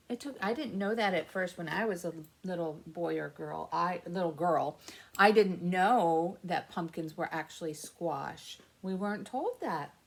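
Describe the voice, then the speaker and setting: Slow-paced woman reading to young children. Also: mid-range voice. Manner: simple explanations and questions addressed to children. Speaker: children's librarian, story time host. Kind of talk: read-aloud story time for young children.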